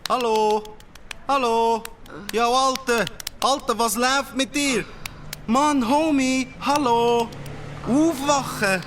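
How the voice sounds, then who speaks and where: Cockroach daredevil, cocky and kind underneath, Swiss German street slang, drawn-out and very animated. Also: mid-range voice, a little hiss or hum. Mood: urgent. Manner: slangy hip-hop talk sprinkled with English. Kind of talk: children's radio play acting.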